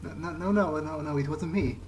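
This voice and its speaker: A man playing a nervous novice vampire in a game, thinking aloud in a hesitant, halting way. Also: medium pitch, a little mellow, slight background noise, close to an everyday voice. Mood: alarmed, nervous. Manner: hesitant, halting, muttering to himself. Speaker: let's player role-playing in character. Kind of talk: in-character game playthrough narration. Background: game music.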